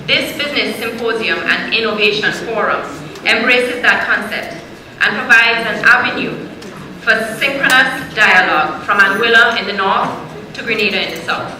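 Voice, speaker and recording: A woman hosting an event, delivering a formal welcome address in a measured, rhetorical, ceremonial way. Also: medium pitch. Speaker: event host. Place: conference hall. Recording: echoey hall, faint noise.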